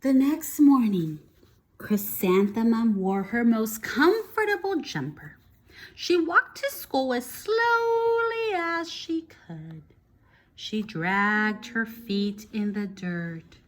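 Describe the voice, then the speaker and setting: A woman teacher reading a picture book aloud to children, expressive, pausing to explain and ask questions. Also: on the high side.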